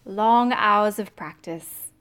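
A woman playing a brisk, self-assured scientist in an audio drama, commanding and matter-of-fact. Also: mid-range voice, fairly bright tone, clear, resonant tone. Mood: calm, wry.

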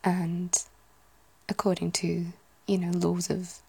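A woman's voice: on the low side, completely flat delivery, slow, gravelly, a little mellow, slightly rough. A woman in her thirties with a British English accent, whispering casual ASMR chat and musing to herself. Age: 30s. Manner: whispering, musing, self-correcting.

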